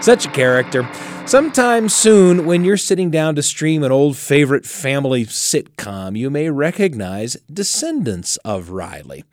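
A man hosting a radio storytelling show, speaking with expressive, theatrical emphasis. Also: a little high, dry recording, clean studio sound. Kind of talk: storytelling radio presenting and chat.

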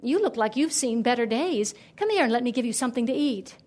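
A woman's voice: fairly high pitch, animated, fairly quick, a little mellow. A woman voicing a cheerful, kindly young man on a journey, in a friendly, emphatic manner. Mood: friendly, curious. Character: cheerful, kindly, eager young male traveler.

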